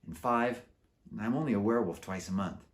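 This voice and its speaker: A man who is an author reading his children's book aloud in an animated storytelling style. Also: lowish voice, brisk.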